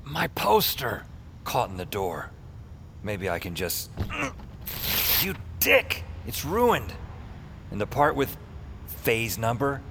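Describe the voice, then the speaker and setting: A man's voice: mid-range voice, very animated, slow, long pauses, fairly bright tone, a little hiss or hum, full character voice. An actor playing a world-weary, wisecracking drinker who narrates his own nights in an audio drama, with a sardonic, wry delivery. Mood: exasperated. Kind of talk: first-person audio drama narration and dialogue.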